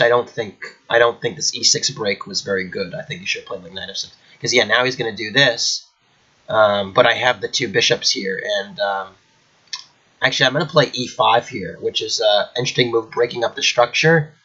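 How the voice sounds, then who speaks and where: Guy with a cold, kinda nasal, rambly chess chat from home, bright voice, bit of dull lo-fi sound. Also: lowish voice, breathy edge. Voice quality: slightly nasal. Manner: rambling with many fillers. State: congested from a cold. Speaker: chess channel host. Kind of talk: casual chess commentary. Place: at home indoors.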